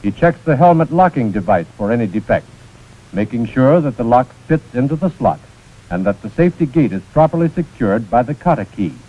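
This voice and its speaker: A man's voice: mid-range voice, very animated, a little fast. An American man narrating a scripted 1940s instructional film in a precise, formal manner. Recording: muffled, scratchy 1940s film soundtrack.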